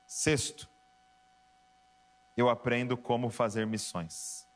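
A man's voice: mid-range voice, flat delivery, slow. A man preaching a sermon to a congregation, emphatic and rising to passionate exhortation. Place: church with keyboard behind.

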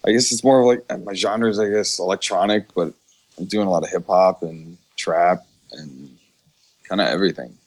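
A man's voice: low-pitched, normal speed, darkish tone. An American man from Chicago talking as a podcast guest in an informal, earnest and agreeable way. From Chicago, United States. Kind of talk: casual interview chat.

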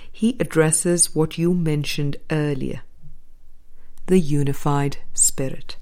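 A woman reading a spiritual book aloud as an audiobook narrator, in a measured, deliberate way with pauses between phrases. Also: on the low side, dark tone. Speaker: audiobook reader.

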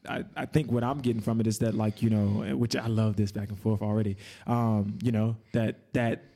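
A man's voice: on the low side, fairly even intonation, normal speed, creaky, warm tone. A man hosting a podcast interview, chatty, laughing often and encouraging his guest. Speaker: podcast host. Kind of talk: casual interview chat.

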